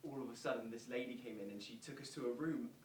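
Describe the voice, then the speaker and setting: A man's voice: medium pitch, fast, distant mic, lightly acted. A man playing an earnest football fan recalling events in a verbatim stage monologue. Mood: excited, awed. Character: earnest football fans recalling a celebrity visit, verbatim theatre. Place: theatre performance space, distant microphone. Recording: roomy stage recording from afar, faint noise.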